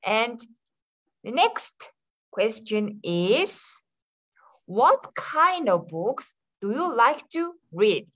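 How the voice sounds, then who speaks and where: A woman hosting a club meeting over a video call, posing questions and repeating them in an unhurried way. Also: on the high side, big pitch swings, a bit bright. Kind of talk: meeting moderation.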